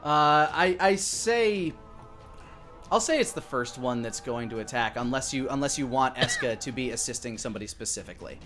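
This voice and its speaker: Man in his thirties, game master, improvised tabletop narration, medium pitch, music in background. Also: animated, normal speed, a bit bright.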